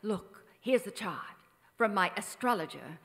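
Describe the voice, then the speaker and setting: Theatrical, imperious aging film star. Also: female voice, medium pitch, expressive, unhurried, vocal fry, fairly bright tone. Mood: excited, imperious. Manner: grandly theatrical. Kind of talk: old-time radio drama acting. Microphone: stand microphone on stage. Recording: live theater stage, long reverb.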